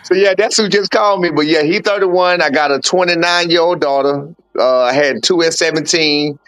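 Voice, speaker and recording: A man's voice: fairly high pitch, normal speed, a bit bright, smooth, no echo. A man in his forties, a boxing coach, telling his life story in a candid, blunt way with slang and swearing in a casual podcast interview. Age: 40s. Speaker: boxing coach and father of a fighter.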